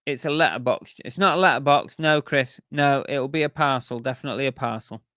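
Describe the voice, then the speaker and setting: A man hosting a relaxed live stream, chatting loosely with lots of ums and restarts. His voice sits at an ordinary middle pitch and is wearing thin, nearly gone. The sound is dry and close, with no room echo. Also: fairly quick. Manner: chatty, rambling, with many fillers and restarts. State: losing his voice. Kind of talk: casual live stream chat.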